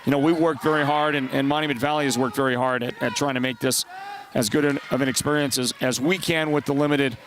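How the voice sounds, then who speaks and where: A congested man with a partly lost voice, calling high school basketball play-by-play and chatting between plays. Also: fairly even intonation, quick pace, a little hiss or hum. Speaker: play-by-play announcer.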